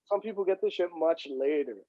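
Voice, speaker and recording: A man's voice: a little high, fairly quick, no echo, lo-fi sound. A man whose first language is Punjabi, a guest on a podcast who talks in a wry, joking way and swears casually. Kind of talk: casual chat.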